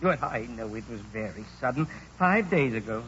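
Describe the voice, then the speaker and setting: An actor playing a nervous, kindly country lawyer in a radio play, deferential, stammering and hesitant. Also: male voice, mid-range voice, animated, normal speed, a bit bright, close to an everyday voice. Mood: sympathetic. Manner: hesitant, stammering, throat-clearing. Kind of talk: 1940s radio acting. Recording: dull old radio recording, faint noise.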